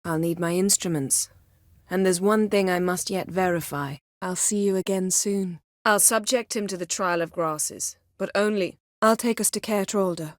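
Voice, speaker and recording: An actress voicing a proud, sharp-tongued sorceress in a fantasy video game, with a crisp, imperious, dryly sardonic delivery. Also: female voice, fairly low pitch, moderate pace, dry recording, clean studio sound, close to an everyday voice. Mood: composed, dry.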